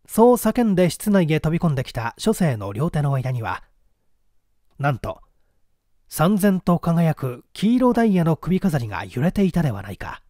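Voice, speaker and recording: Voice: mid-range voice, big pitch swings, moderate pace, dark tone, no room sound, studio quality. A narrator reading a mystery story aloud in a dramatic scripted style.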